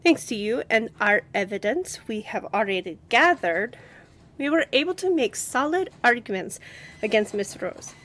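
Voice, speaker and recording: A woman playing a composed, confident young lawyer and boss in an audio roleplay, with a measured, earnest delivery. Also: on the high side, big pitch swings, fairly bright tone.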